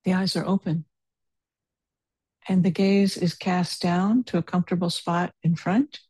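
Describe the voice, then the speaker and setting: A woman leading a guided meditation in a low, mellow voice with a husky edge. She speaks very slowly and softly, leaving long pauses. The sound is close and dry. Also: little pitch movement, dry recording, low-quality recording. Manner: slow, soft-spoken and unhurried, with long pauses. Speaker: meditation teacher.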